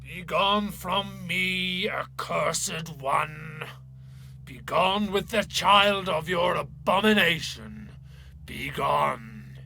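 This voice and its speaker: A man acting a stern, pompous Irish parish priest in a dramatic story reading, slow and commanding in his delivery. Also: fairly high pitch, creaky, sharp tone, exaggerated voice. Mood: condemning. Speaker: actor.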